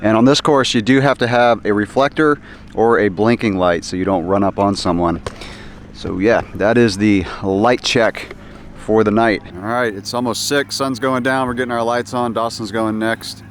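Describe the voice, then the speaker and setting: A man talking casually and upbeat to his camera at an outdoor race, his voice a bit hoarse from yelling. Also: fairly low pitch, fairly even intonation, moderate pace, slight background noise. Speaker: vlogger. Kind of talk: casual vlog narration.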